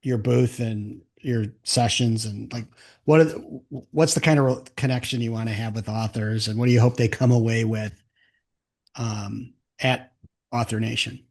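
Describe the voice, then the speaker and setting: A man with an average-pitched voice speaks as a podcast host. His delivery is conversational and plain-spoken, with an explanatory bent, as in an informal business interview. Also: steady tone, moderate pace, a little mellow.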